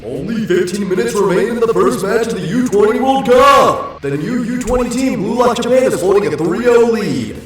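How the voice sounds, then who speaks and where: Man as excited male stadium commentator for anime soccer, heavy echo, high pitch, music behind. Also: normal speed, few pauses. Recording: echoing, distant, music behind.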